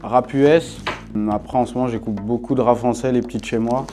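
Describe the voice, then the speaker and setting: A man in his late twenties, answering street quiz questions in a relaxed way. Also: medium pitch, brisk, over music. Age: late 20s. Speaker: interviewee.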